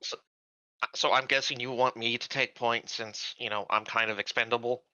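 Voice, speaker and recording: A man's voice: mid-range voice, fairly even intonation, moderate pace, pushed and bright. A man chatting casually as a player in a tabletop roleplaying game, on slightly muffled audio. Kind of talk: casual chat.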